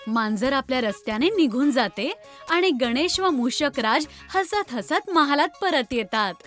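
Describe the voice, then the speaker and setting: High-voiced woman narrating a children's story. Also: lively intonation, unhurried, fairly bright tone, soft background music.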